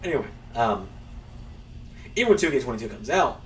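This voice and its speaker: A man's voice: medium pitch, very animated, brisk. Rambling male gaming streamer, slightly distant mic. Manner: rambling, self-mocking, swears. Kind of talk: casual live-stream chat while playing.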